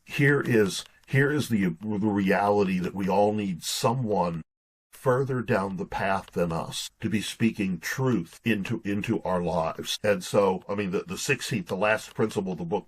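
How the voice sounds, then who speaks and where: A man's voice: low voice, moderate pace, slightly rough, low-quality recording. A man in his sixties telling stories casually as an interview guest, in a folksy, earnest way with stop-and-start phrasing. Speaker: podcast guest, pastor and author.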